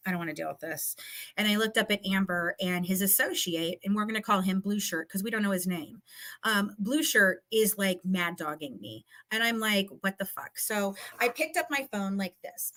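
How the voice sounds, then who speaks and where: A woman's voice: medium pitch, pushed and bright. A woman telling a story casually and with animation, emphatic and given to swearing, acting out both sides of conversations. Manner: emphatic, swearing, re-enacts dialogue. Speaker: guest recounting a legal dispute.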